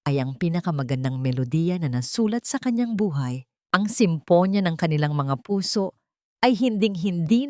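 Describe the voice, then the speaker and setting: A woman narrating a scripted dramatic audiobook in a measured, expressive storytelling manner. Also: low voice, no echo, studio quality.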